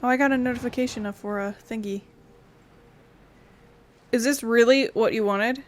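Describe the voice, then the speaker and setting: A woman's voice: fairly high pitch, fairly even intonation, normal speed, a little hiss or hum. A woman gaming and giving casual, playful commentary, half talking to herself. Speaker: game streamer. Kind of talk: casual let's play commentary.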